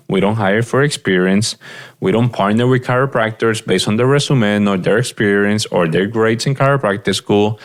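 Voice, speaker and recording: A man giving earnest, direct business advice as a practice coach, often repeating his points. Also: lowish voice. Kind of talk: advice monologue.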